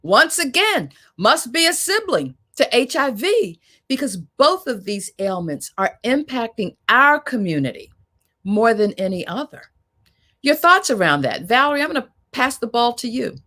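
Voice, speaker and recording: A woman's voice: fairly high pitch, sing-song, normal speed, pushed and bright. Woman moderating a panel discussion.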